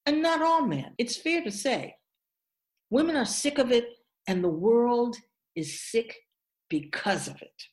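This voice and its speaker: A woman playing an otherworldly feminine spirit in a radio drama, speaking in a deliberate, emphatic, oratorical way, grave and candid. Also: on the high side. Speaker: spirit guide being interviewed. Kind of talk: radio drama monologue, reflective.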